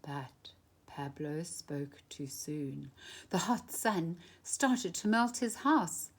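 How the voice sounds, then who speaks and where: A woman reading a children's picture book aloud expressively, with dramatic emphasis and drawn-out phrases. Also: sits low, animated. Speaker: storytime reader.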